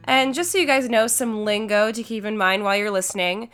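A woman's voice: mid-range voice, a little fast, a bit bright, soft background music. A young woman hosting a podcast, upbeat and chatty with many "um" fillers, her voice congested from a flu. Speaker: podcast host. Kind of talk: podcast intro and interview.

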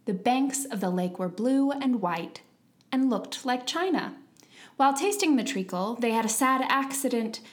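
A woman voicing a polite, kindly young girl in a storybook, with a gentle, earnest and slightly timid manner. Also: a little high, animated, normal speed, pitched above the performer's own voice, lightly acted. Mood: careful reading aloud.

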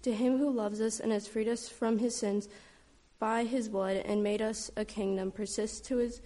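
A woman reading scripture aloud in a church service, steady with a few small stumbles. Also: on the high side, moderate pace. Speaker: scripture reader.

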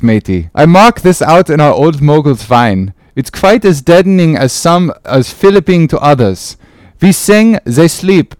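A man's voice: on the high side, no echo, voice pushed up. A man doing a rowdy jeering sailor. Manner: jeering, boisterous. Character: rowdy teasing old-time sailor.

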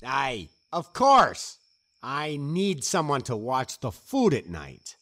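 An actor playing a gruff, stingy, bossy tavern keeper in a fantasy audio drama. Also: male voice, mid-range voice, wildly swooping pitch, slow, a bit bright, exaggerated voice. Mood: domineering, scolding. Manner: bossy, grumbling, emphatic.

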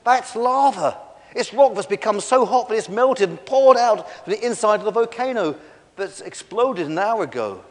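A man's voice: animated, moderate pace. A man voicing a massive, kindly storybook diplodocus who speaks in a helpful, reassuring way. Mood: kindly, explaining. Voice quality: deep. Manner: reassuring, explanatory. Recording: long echoey hall reverb.

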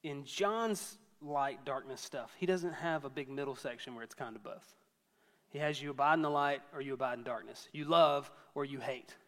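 A man's voice: medium pitch, normal speed, a bit bright, big-room sound. A man in his thirties preaching a sermon to a church, conversational and storytelling with self-deprecating humor. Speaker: pastor.

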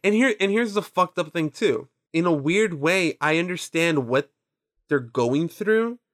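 A man in his twenties whose first language is Spanish talks loosely, rambling through his stories, swearing, and quoting himself. His voice sits a little higher than most men's. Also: lively intonation, a little slow, a bit bright. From Mexican and Nicaraguan heritage. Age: 20s. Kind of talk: casual chat.

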